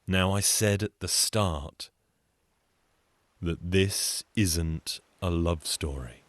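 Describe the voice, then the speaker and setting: A man telling a folktale in a wry, conversational way with deadpan asides. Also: low voice, drawn-out words, no echo. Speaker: storyteller and folk singer. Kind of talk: wry conversational storytelling with song.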